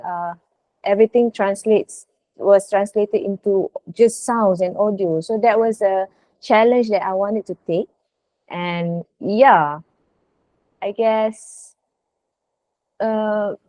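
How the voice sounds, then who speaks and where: A woman chats casually as an interviewed actress. She speaks very slowly in a mid-pitched voice, recorded close and dry. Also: dark tone, dry recording.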